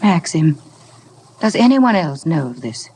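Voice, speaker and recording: An actress playing a devoted, anxious young wife in a 1950s radio drama, pleading emphatically. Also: female voice, deep voice, very animated, a little slow, a little mellow. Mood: urgent, protective. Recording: faintly noisy vintage radio recording.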